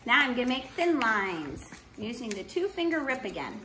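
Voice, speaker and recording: A middle-aged woman gives simple step-by-step craft instructions for children in short, unhurried phrases, recorded indoors from across a table. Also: on the high side, very animated, sharp tone. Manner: unhurried, short simple phrases while working with her hands. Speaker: art teacher demonstrating a craft. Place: indoor room at a wooden table. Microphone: camera a couple of meters away. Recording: roomy echo, faint background noise.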